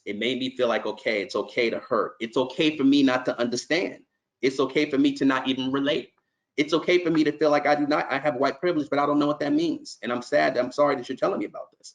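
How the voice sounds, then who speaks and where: A middle-aged man from New York, a nonprofit executive, gives earnest, conversational remarks to a conference call and often restarts his sentences. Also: medium pitch.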